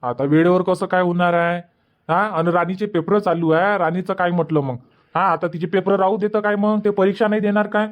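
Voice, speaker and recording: A man's voice: high-pitched, level tone, brisk, close to an everyday voice. A man voicing a worried, reluctant village father in a cartoon comedy, questioning and protesting with a Vidarbha Marathi accent in a dull recording. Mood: worried objecting.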